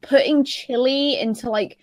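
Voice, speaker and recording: A woman of twenty chatting casually on a podcast in a rambling, halting way, often repeating herself. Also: high voice, steady tone, darkish tone. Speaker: podcast panelist.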